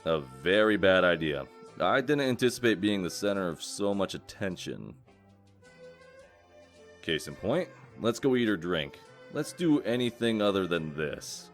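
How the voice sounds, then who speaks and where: A man playing a gruff, dry detective cop in a comic dub, speaking in a measured, wry way. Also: deep voice, big pitch swings, soft background music, full character voice. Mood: dry, focused. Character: gruff male wolf detective cop, dry, theatrical about revealing culprits.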